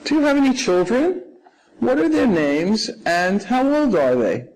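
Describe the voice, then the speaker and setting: A man's voice: sits high, big pitch swings, a little slow, a little mellow, low-quality recording. A man playing a formal interviewer and asking set questions in a scripted practice dialogue. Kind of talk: scripted role-play questions.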